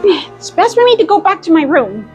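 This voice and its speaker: A woman's voice: extremely high pitch, big pitch swings, normal speed, darkish tone. A woman voicing a playful, clumsy female cartoon mouse who is an apprentice sorceress, chatting to herself in a role-play video. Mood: bemused. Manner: chatty, thinking aloud in short exclamations. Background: faint music.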